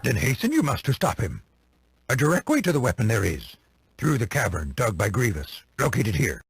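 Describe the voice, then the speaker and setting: A man voicing a small, ancient, wise alien sage in a game, with a gravelly croak, grave manner and inverted, halting phrasing. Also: medium pitch, huge pitch swings. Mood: urgent, grave. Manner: inverted word order, halting solemn phrasing. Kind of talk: video game cutscene acting. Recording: clearly noisy game audio.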